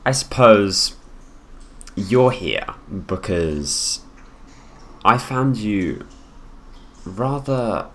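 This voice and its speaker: A man voicing a haughty, possessive prince in an improvised ASMR roleplay, speaking to the listener with condescension and deliberate pauses. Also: on the low side, animated, fairly bright tone, pitched above the performer's own voice, heavily acted. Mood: condescending admiration. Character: haughty possessive young prince, heir to the throne, coolly menacing.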